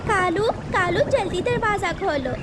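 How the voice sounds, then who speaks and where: Cartoon mother sparrow, played by a woman: caring, very high, animated delivery. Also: unhurried, very noisy. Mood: urgent. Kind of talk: Hindi children's cartoon story voice acting.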